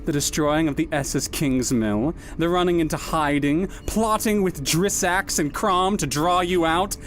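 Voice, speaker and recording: Woman as a slow, sly, smug fox. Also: low voice, a little hiss or hum. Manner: drawling, smug, measured. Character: sly, smug female fox, loyal schemer. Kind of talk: sci-fi audio drama acting.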